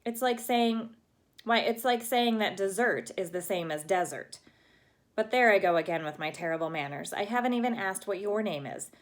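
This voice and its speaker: A woman's voice: mid-range voice, moderate pace, natural voice. A woman voicing a dramatic, chatty red-haired girl from a storybook who talks in a gushing, flowery, theatrical way with an accent that cannot be placed. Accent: unplaceable.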